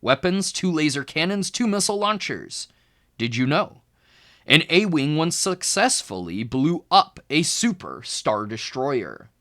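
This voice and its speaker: Man reading aloud with lively, swooping intonation. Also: fairly high pitch, measured pace. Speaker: tutor reading a children's book aloud. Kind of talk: expressive educational read-aloud narration.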